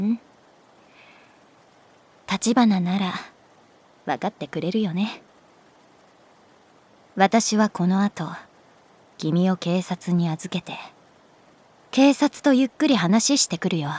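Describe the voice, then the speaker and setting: A woman voicing a calm, resigned, gently protective art teacher in a Japanese audio drama, speaking in a soft, measured, wistful way. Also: medium pitch. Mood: firm, resigned. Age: adult. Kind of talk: Japanese audio drama acting, intimate dialogue.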